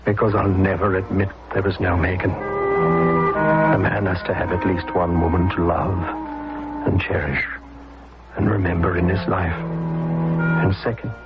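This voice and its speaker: An actor playing a lonely, guilt-ridden old man, half mad, in a halting radio drama monologue. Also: deep voice, huge pitch swings, heavily acted. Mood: wistful, bitter. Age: older. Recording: muffled old radio broadcast, music behind.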